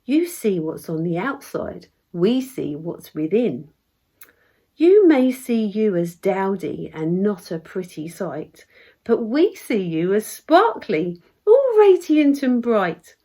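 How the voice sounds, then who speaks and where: An excitable, kindly little storybook fairy acted out by a woman, gushing in exclamations. The delivery leaps and dips in pitch, with a slightly husky edge. It is unhurried, as verse for children is. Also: heavily acted. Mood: tender, admiring.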